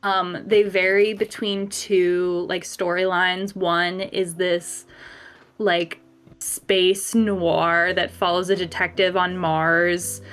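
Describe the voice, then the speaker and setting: A woman's voice: lowish voice, little pitch movement, takes it slowly, fairly bright tone. A woman on a podcast panel chatting casually, hesitant and self-deprecating, peppered with "like". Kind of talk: casual group chat.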